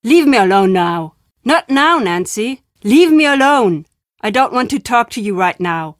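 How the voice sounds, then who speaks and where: A woman playing an enigmatic, foreboding traveling storyteller in an adventure game, stern and deliberate in her phrasing. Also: on the high side, sing-song, no echo, studio quality. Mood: angry, scolding. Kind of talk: adventure game character acting.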